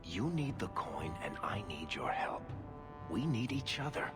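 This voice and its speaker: Glib dwarf rogue, wry persuasive salesman, expressive swooping delivery, game cutscene, music underneath. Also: low-pitched, unhurried. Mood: earnest persuasion. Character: smooth-talking, wry dwarf rogue and dealmaker. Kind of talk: video game cutscene dialogue. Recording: music behind the voice. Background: background music.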